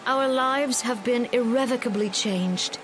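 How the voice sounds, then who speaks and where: An actress playing a solemn female forest leader giving a measured, formal ceremonial speech in a fantasy game. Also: a little high, lightly acted. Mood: grave, mournful. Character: gentle, solemn female forest elder leader addressing her people. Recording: music and rain behind the voice.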